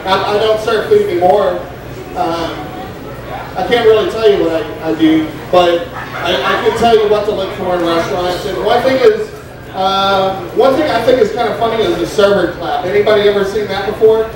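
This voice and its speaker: A young man doing stand-up comedy in a restaurant, rambling and halting with many fillers, and acting out a stoned, forgetful waiter. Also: high-pitched. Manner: acts out a stoned, scatterbrained waiter. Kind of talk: open-mic stand-up comedy. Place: Mexican restaurant with a live crowd. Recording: very echoey room, distant mic, crowd noise.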